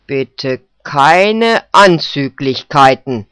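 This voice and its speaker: A narrator voicing a smug male kangaroo judge who hands down loud, pompous rulings. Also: medium pitch, big pitch swings, slow pace, no echo. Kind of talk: storybook character voice. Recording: dull, dry recording.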